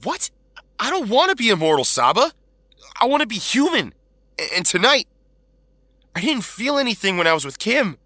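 A man's voice: high-pitched, big pitch swings, normal speed, pauses often, pushed and bright, dry recording, clean studio sound, full character voice. A man playing an anguished, earnest teenage hero in an audio drama, pleading as he talks. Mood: troubled.

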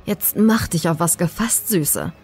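A woman's voice: fairly low pitch, expressive, a little slow, a little mellow, slightly rough, soft background music, heavily acted. A woman voicing a lecherous, menacing male college lecturer in a horror audiobook, panting and leering. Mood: lustful, menacing.

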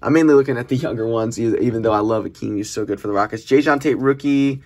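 A man's voice: medium pitch, fast talker. A young man giving chatty, informal commentary as he hosts an unboxing video in a small room. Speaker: host of a trading card unboxing channel. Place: small indoor room at a desk. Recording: noisy room, crinkling packaging handling sounds. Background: clicks, crumpling and crinkling of packages.

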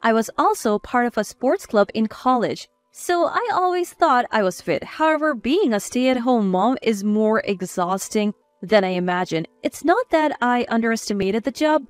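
A woman narrates a personal story from a script. Her voice is high and moves up and down a lot, recorded dry and close with faint music underneath. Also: lively intonation, moderate pace, few pauses, no echo.

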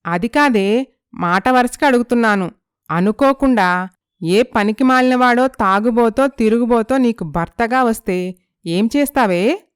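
A woman playing a sympathetic, gently persuasive young female friend in an animated moral story. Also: a little high, a little slow, clear, resonant tone, dry recording, clean studio sound, lightly acted. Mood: supportive.

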